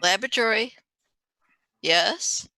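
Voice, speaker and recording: A woman's voice: mid-range voice, slow, bright, forceful tone, no room sound. A woman playing a scheming lab assistant, controlled and matter-of-fact, in a radio play reading. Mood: guarded. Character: cool, scheming female lab assistant and conspirator.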